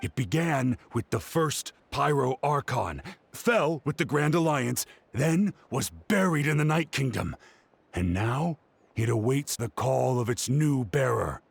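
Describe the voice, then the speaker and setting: An excitable young male scholar from a video game. His mid-pitched voice swings up and down with a crackly edge as he earnestly presses his point. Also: a little slow, vocal fry, slightly rough, no room sound, exaggerated voice. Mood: fervent. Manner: earnest, insistent, explaining.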